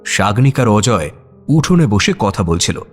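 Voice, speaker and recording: Animated male storyteller over faint music. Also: fairly low pitch, unhurried. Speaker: story narrator. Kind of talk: expressive scripted audio story narration.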